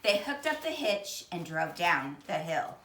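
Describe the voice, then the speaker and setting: A woman reading a children's picture book aloud in an expressive, deliberate way, with dramatic emphasis. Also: lowish voice, distant mic. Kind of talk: animated children's storybook read-aloud. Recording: echoey, roomy sound.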